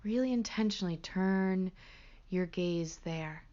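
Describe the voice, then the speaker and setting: A woman leading a guided meditation as a teacher, gentle and hushed, with pauses between short phrases. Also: lowish voice, highs cut off.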